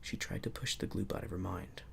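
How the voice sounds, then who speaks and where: A man reading a bedtime story in a hushed, soothing ASMR narration. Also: a little fast, a little hiss or hum. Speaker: storybook reader.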